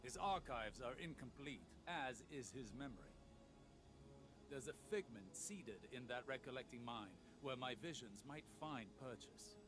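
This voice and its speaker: A man's voice: medium pitch, lively intonation, lightly acted. Grave brooding strategist over music, acted by a man. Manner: grave, deliberate, poetic. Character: brooding, guarded male strategist and seer. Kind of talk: dramatic game narration.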